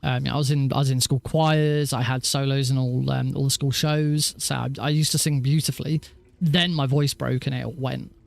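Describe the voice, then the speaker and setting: A man with a naturally high voice, rambling casually and self-deprecatingly as he hosts a gaming livestream. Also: vocal fry, darkish tone, dry recording. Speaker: livestreaming speedrunner and host. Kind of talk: casual livestream chat over gameplay.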